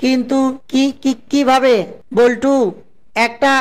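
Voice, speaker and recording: A woman's voice: sits high, level tone, takes it slowly. A woman voicing a scheming male cartoon fox, an eager suitor who stutters heavily on syllables. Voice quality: heavy stutter, repeating syllables. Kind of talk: cartoon voice acting.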